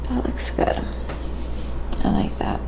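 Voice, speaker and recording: A soft-spoken woman chatting gently and unhurriedly on a quiet ASMR painting livestream, with a creaky, sometimes breathy voice. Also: slow pace. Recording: clearly audible background noise.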